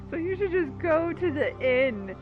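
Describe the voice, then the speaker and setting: A woman's voice: very high-pitched, phone-quality sound. A woman streamer giving casual, playful commentary on a video game, joking and acting out mock dialogue. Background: game music.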